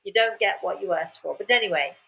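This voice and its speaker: A woman's voice: medium pitch, brisk, gravelly, a bit bright. An older Englishwoman hosts her show and tells a casual story. She speaks with a chatty, rambling manner. She often repeats words and drops in cheerful asides. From England. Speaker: host.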